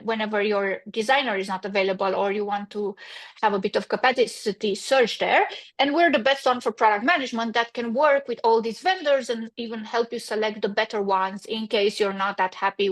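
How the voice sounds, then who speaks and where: A woman from Romania with a Romanian accent, a company founder answering interview questions in a practical way with frequent restarts. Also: medium pitch.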